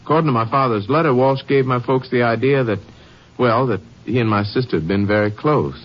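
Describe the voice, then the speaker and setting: Man playing an earnest, husky, bereaved son. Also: medium pitch, a little fast, lightly acted. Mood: earnest, sorrowful. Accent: American. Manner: hesitant, earnest, polite. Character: earnest, bereaved adult son, commercial artist. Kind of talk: 1950s radio drama acting. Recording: muffled old 1950s radio recording.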